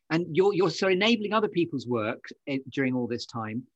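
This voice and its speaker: A man hosting a podcast interview, responding encouragingly and quick to agree. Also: a little high, big pitch swings, dry recording, lo-fi sound. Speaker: podcast host.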